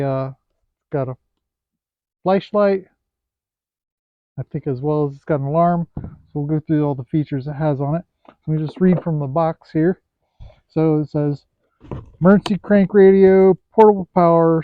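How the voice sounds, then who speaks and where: A man giving a casual, unscripted product review in a hesitant, pausing way as he looks things over. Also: on the high side, clear, resonant tone, no room sound. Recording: thin, phone-like, band-limited sound.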